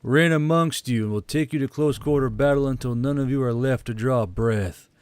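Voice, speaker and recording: A man's voice: medium pitch, moderate pace, darkish tone, clean tone, no room sound, lightly acted. Man as a weary, grim troop commander. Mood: menacing.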